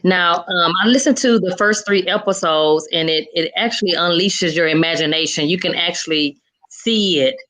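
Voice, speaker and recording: Lower-voiced woman hosting a casual interview. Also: normal speed, fairly bright tone, poor audio. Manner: encouraging, affirming. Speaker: interviewer, show host.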